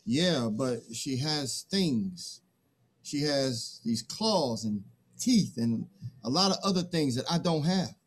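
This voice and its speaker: A man's voice: mid-range voice, lively intonation, slow pace. A man acting a naive, timid young man in a theatrical play reading, hesitant and bewildered.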